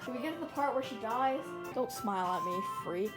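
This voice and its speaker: Snarky young woman, fairly high voice. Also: lively intonation, brisk, reverberant, distant mic. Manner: blunt, snarky. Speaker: co-host reading along. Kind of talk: casual heckling banter over a game. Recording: loud music behind the voice.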